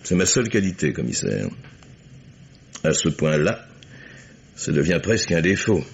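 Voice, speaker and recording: An actor playing a proud, guarded chief engineer in a radio mystery, speaking formally and in measured, clipped statements. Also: male voice, deep voice, sing-song, gravelly, breathy edge, full character voice. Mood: cold calm. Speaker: actor in a radio crime drama. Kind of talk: French radio mystery acting. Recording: muffled old radio recording.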